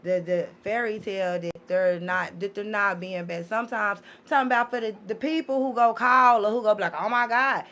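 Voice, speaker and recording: A woman's voice: medium pitch, brisk, few pauses, fairly bright tone. A talkative woman in a casual, candid group discussion, emphatic and slangy, with frequent swearing. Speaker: talk show panelist and parent.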